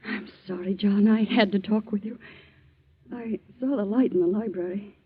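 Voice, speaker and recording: An actress playing a regretful middle-aged woman with a husky, hesitant voice, pleading and worn by a cold, in a 1940s radio drama. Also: medium pitch, normal speed, a little hiss or hum, close to an everyday voice. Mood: timid, pleading. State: has a lingering cold. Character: shabby, regretful, timid middle-aged former wife. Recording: tinny vintage 1940s radio recording.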